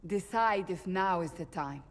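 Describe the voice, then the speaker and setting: A woman's voice: on the low side, a little slow. An actress playing a blunt, principled female warrior of a holy order in a game, firm and formal in manner. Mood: grave, persuasive. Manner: firm, formal, matter-of-fact. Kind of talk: dramatic game voice acting. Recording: long reverb.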